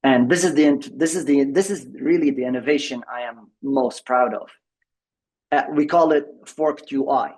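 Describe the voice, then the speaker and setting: A man's voice: medium pitch, moderate pace, a little mellow, poor audio. A man giving a tech presentation at a members' meeting over a video call. He talks with many fillers and restarts. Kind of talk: conference presentation.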